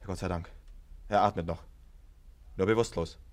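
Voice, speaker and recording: An actor playing an impatient police patrol corporal with a curt, commanding manner in a German radio play. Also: male voice, low-pitched, steady tone, moderate pace, natural voice. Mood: concerned, brisk.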